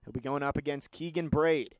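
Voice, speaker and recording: A young man with a slightly high voice delivers live sports commentary in a close, dry sound. He describes play in a measured way and lifts into excited calls when the action builds. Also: no echo. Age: young adult.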